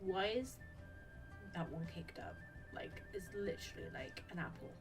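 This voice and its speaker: A woman's voice: lowish voice, sing-song, moderate pace. Woman gamer commenting, slightly distant mic. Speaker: gaming streamer. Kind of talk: casual game playthrough commentary, reading along. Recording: distant mic, game music behind. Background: game music.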